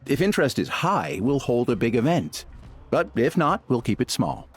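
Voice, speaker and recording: A practical, persuasive chief. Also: male voice, medium pitch, sing-song, normal speed, slightly rough, faint music behind. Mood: decisive. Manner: reasonable, persuasive. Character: practical adult male tribe chief. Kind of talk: video game voice acting.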